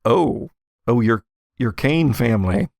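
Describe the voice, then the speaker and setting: A man puts on a bumbling small-town deputy for an audio-drama game. He stammers and doubles back, unsure of himself. The voice is creaky, close and dry. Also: medium pitch, expressive, a little slow, a little mellow, no echo. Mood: flustered, polite.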